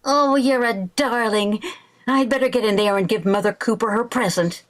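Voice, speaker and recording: Woman playing high-pitched excitable radio-comedy housewife. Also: moderate pace, lightly acted. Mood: sweet. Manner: theatrical, exclaiming. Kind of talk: 1940s radio comedy acting.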